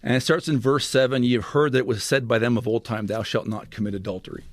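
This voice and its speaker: A man talks through scripture with an animated, expressive teaching manner, often restarting his phrases. His voice sits in the middle range. The recording is dry and close. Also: medium pitch, quick pace, no room sound. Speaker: podcast guest scholar. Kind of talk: explanatory scripture discussion.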